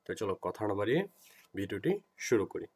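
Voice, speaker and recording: A man with a Bangladeshi accent giving an informal software tutorial, explaining step by step and repeating himself as he teaches. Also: lowish voice, very animated, fairly quick, heavy background noise.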